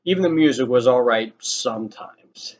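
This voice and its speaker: A man's voice: mid-range voice, normal speed, darkish tone. A young man telling a casual story in a rambling, informal way with some swearing. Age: young adult. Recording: dull lo-fi audio with noticeable noise.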